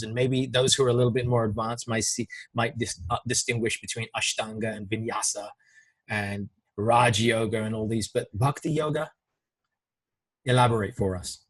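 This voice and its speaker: A man's voice: medium pitch, rough recording. A male host asking long, rambling questions in a jovial, laughing interview manner. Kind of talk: casual interview talk.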